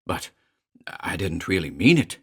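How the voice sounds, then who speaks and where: A man's voice: sits low, very animated, measured pace, fairly bright tone, slightly rough, full character voice. Guy playing a horrified wizard, choking out words, swings way up. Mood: desperate. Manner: choked, desperate, rising to screams. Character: terrified male wizard, choking with horror.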